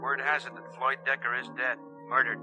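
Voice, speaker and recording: Man playing a deadpan cop sidekick, muffled radio. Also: medium pitch, brisk, over music, natural voice. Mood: matter-of-fact. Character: dry, wisecracking male police sidekick, plain and dutiful. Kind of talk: 1950s radio crime drama acting. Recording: muffled old radio recording.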